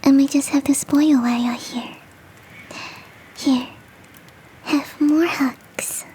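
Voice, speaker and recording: A woman voicing a big, fluffy, motherly and flirty yeti in a soft, teasing, whispered ASMR roleplay. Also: high voice, steady tone, takes it slowly, a little hiss or hum. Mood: tender, doting. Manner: tender, teasing, coaxing.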